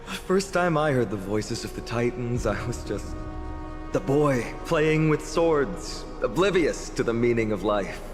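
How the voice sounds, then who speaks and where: A man's voice: mid-range voice, lively intonation, a little slow, close to an everyday voice. An actor playing an earnest young male hero of a fantasy game, speaking in a solemn, formal, heartfelt way. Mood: wistful, grateful. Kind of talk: video game voice acting. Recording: long reverb, music behind.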